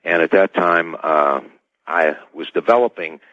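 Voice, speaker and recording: Extremely deep-voiced man, dull radio sound. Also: measured pace, no echo. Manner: hesitant, formal, technical wording. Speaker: expert guest, scientist and inventor. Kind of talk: technical explanation in a radio interview. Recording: dull band-limited call-in radio sound.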